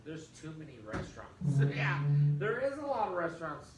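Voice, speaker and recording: A man's voice: a little high, very animated, moderate pace, loud music behind the voice. A man co-hosting a casual bar chat, joking around. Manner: joking, reads listener questions. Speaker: podcast co-host. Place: bar and grill. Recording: echoey bar, far from mic, background noise.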